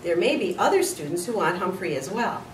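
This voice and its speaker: A woman's voice: lowish voice, sing-song, a little fast. Stern schoolteacher, read by a woman, echoey room. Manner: stern, scolding. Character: stern, easily irritated female schoolteacher. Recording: roomy, distant mic with faint noise.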